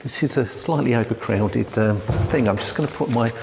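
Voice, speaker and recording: Quiet gravelly preacher in a hall. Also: male voice, medium pitch, brisk, noisy background. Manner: quiet, hesitant, with frequent restarts. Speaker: church elder preaching. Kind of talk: sermon. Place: church hall. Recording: long hall reverb.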